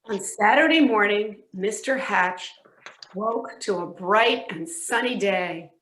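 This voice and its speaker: Woman reading a kids story slowly, crisp bright tone, roomy sound. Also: mid-range voice. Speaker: reader in a readers theatre. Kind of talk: children's storybook narration. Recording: echoey room.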